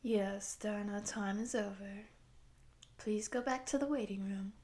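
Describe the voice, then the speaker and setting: A woman's voice: mid-range voice, fairly even intonation, a little mellow, natural voice. Woman playing a composed, polite program coordinator. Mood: calm, courteous. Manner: polite, orderly, measured. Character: composed, courteous female exchange program coordinator. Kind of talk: audio roleplay addressed to the listener.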